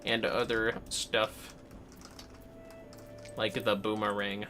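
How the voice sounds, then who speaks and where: A man's voice: lowish voice, little pitch movement, slow pace, pushed and bright. A man giving casual gaming commentary as a livestream host, muttering rambling asides to himself. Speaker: livestream host playing a game. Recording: music behind the voice.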